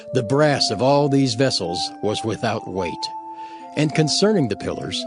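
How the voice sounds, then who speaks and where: A man narrating scripture from a script in a measured, solemn manner, phrase by phrase. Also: mid-range voice.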